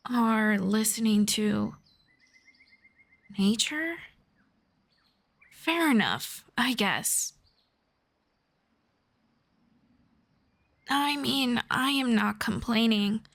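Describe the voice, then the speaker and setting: A woman voicing a gentle, teasing young elf friend in an unhurried fantasy roleplay audio drama in ASMR style. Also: fairly high pitch, animated, vocal fry, full character voice. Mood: amused, teasing.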